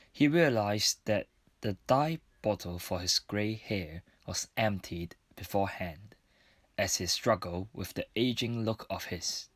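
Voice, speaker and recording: A man reads scripted story narration for a radio drama. His voice is on the low side and recorded very dry, close and free of room sound. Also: no room sound.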